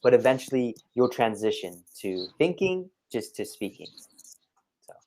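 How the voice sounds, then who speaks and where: A man's voice: medium pitch, big pitch swings, moderate pace, a little mellow. A man giving conversational one-to-one tutoring advice as an English tutor, explaining things step by step in an encouraging way. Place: online video call.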